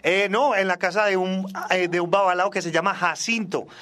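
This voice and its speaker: A man's voice: high-pitched, fairly even intonation, moderate pace, fairly bright tone. A man in his forties from Australia, a native English speaker, chatting casually as a musician guest in a radio interview. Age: 40s. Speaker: salsa singer and trombonist, guest.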